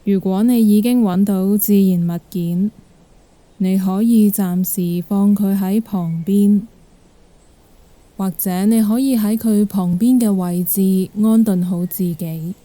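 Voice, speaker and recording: Slow, very mellow Cantonese-accented woman meditation guide. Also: medium pitch, no room sound. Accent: Hong Kong Cantonese. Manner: gentle, calm, unhurried instruction.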